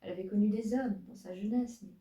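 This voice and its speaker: A woman's voice: mid-range voice, fast, warm tone. Woman reading her old fanfic aloud. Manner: self-mocking asides, stumbles over her text. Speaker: fanfiction reader. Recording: roomy, distant mic.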